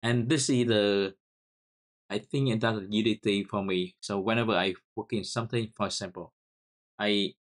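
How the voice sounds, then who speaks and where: A man with a Vietnamese accent walks through a coding tutorial in a lowish voice. His delivery is halting and he often repeats words as he types. He speaks English as a second language. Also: fairly even intonation. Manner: halting, repeats words while typing key commands. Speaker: software tutorial host. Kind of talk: screen-recorded coding tutorial.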